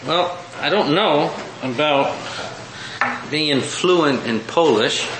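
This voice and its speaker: A man's voice: fairly high pitch, slow pace, noisy background. Roomy-sounding rambling male preacher. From born in the United States, raised in Mexico. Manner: rambling, self-deprecating, many restarts. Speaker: missionary preacher. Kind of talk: informal report to a church congregation. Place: church meeting room. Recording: roomy hall echo.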